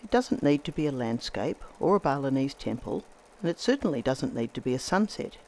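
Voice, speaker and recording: A woman hosting a textile art demonstration, with a slightly croaky voice from laryngitis, speaking in a measured, gentle and enthusiastic way. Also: very deep voice, big pitch swings. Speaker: textile artist host. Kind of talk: scripted demonstration narration.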